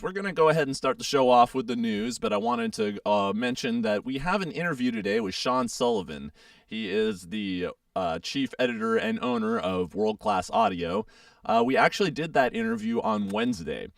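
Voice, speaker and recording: A man's voice: medium pitch, dry recording, far from the mic. Froggy-voiced male radio host. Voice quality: froggy, gravelly. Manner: chatty, rambling with many fillers. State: hoarse, getting over a sore throat. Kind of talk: casual radio show chat.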